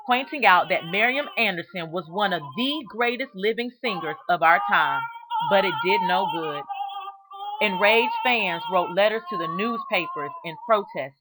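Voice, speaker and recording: A woman reads a children's storybook aloud as narrator, with measured, expressive storytelling and deliberate phrasing. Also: medium pitch, very noisy. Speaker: reader of a children's book aloud.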